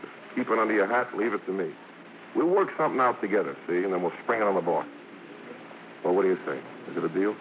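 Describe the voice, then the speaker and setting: A man's voice: sits low, animated, quick pace, vocal fry, pauses often. An American man playing a cocky, slangy, teasing vaudeville star in old radio drama style. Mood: confident coaxing. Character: brash, cocky, wisecracking male vaudeville hoofer and star. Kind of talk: 1940s-50s American radio drama acting. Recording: noisy, muffled old radio broadcast.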